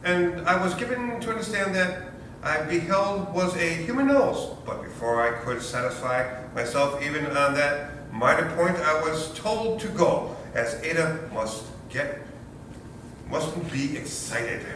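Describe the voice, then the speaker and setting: Hesitant, self-justifying young husband, acted by a man, stage reading, lightish high voice, distant echoey mic. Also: expressive, a little slow. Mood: defensive. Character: flustered, evasive young nephew, new father. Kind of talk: staged readers theater performance. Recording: echoey hall from far off, faint rumble.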